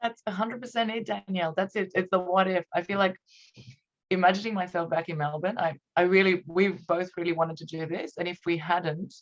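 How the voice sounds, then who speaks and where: Romanian-accented woman on a dull lo-fi call, lowish voice, thoughtful and halting. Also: fairly even intonation. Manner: reflective, hesitant, laughs often. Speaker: podcast guest, copywriter. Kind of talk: conversational interview.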